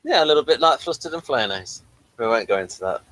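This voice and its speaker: A man co-hosting a casual unscripted chat, halting and repeating his phrases as he goes. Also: mid-range voice, animated, a bit bright. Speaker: podcast co-host.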